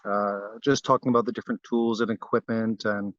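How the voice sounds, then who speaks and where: A man from Barbados, a guest wood carver, talking in a relaxed interview with frequent fillers and restarts. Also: fairly low pitch, a little fast, dry recording, lo-fi sound.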